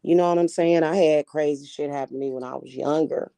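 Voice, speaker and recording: A woman's voice: deep voice, steady tone, a little fast, creaky, warm tone, dry recording. A woman in her forties with a Kansas City Midwestern accent, chatting casually with viewers on a livestream in a rambling, slangy, frank way. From Kansas City, Missouri. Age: 40s. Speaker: livestream host reading chat. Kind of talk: casual chat.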